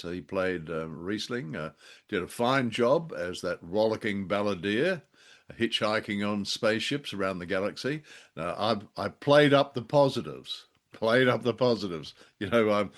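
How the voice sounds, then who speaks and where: Man, podcast co-host, fairly low pitch, casual review chat, wry, playfully exaggerating. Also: fairly bright tone.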